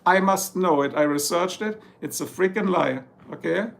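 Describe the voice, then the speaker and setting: A man's voice: high-pitched, normal speed, gravelly. A man from Germany with a German accent, chatting casually to his livestream viewers, rambling and joking and slipping into German now and then. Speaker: livestream host. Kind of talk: casual livestream chat reading comments. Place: home in Ohio, US.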